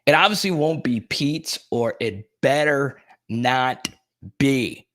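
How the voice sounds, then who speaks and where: A young man chats casually on his live stream in a plain, everyday voice at an ordinary middle pitch. He rambles along and reads out viewers' chat comments, answering them as he goes. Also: slow, a bit bright.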